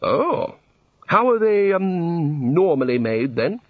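A man voicing a hearty, bluff senior wizard who is blunt, pompous and slow to catch on, in an audiobook story. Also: fairly high pitch, very animated, no echo, narrow, tinny audio, voice pushed up, full character voice. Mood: puzzled.

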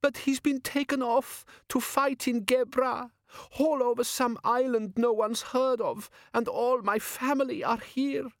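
A man acts out a distraught young woman from a storybook. The voice is high, well above his own, and broken with tearful sobbing, in a dry, close recording. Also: steady tone, unhurried, no room sound.

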